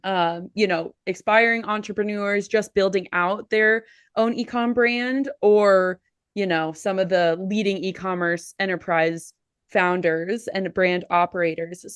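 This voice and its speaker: A woman's voice: mid-range voice, smooth, no room sound, clean studio sound. Chatty woman podcast host. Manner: upbeat, chatty with fillers. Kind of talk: podcast interview.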